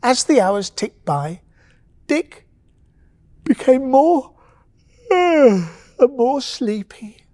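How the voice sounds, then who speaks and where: A woman narrating a bedtime story with gentle, expressive pacing and dramatic pauses. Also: high-pitched, dry recording, clean studio sound.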